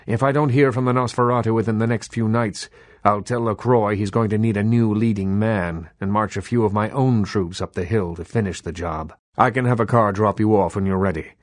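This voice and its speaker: An actor playing a proud, old-fashioned vampire baron in a video game, speaking with measured, theatrical, world-weary authority. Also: male voice, on the low side, steady tone, no echo, clean studio sound, lightly acted. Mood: grave, scheming. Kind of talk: scripted video game dialogue.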